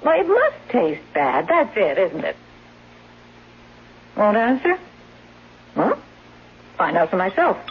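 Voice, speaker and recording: An actress playing a scheming caregiver and secret lover in a 1970s radio drama, brisk, sardonic and hard-edged. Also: female voice, medium pitch, wildly swooping pitch, slightly rough, exaggerated voice. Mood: coaxing, sly. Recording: dull, noisy, humming vintage radio recording.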